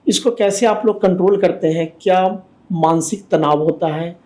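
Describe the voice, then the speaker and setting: A man's voice: high voice, normal speed, darkish tone. An Indian-accented man hosting an interview, putting questions and giving short acknowledgements. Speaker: interview host.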